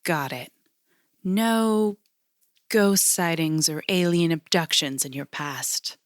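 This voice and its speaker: An actress playing an overly pleasant, patronizing female agency interviewer in an audio drama, polished and measured in manner. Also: low voice, no echo, studio quality. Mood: condescending, probing.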